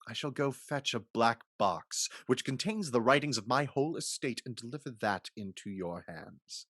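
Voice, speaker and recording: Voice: mid-range voice, lively intonation, normal speed, a bit bright, no echo. Someone acting a blustery knight suitor guy, big swoopy declaiming, dry close sound, old stage comedy. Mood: ardent. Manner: declamatory, swaggering. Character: blustering gallant suitor, a male knight in disguise. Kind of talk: theatrical Restoration comedy reading.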